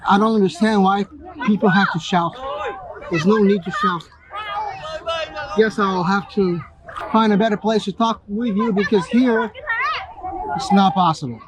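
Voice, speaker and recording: A man's voice: high voice, unhurried, long pauses, crowd noise, poor audio. A young man talking casually to camera as a travel vlogger, rambling with many restarts and fillers, recorded on location outdoors. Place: outdoors at a bay and a city landmark.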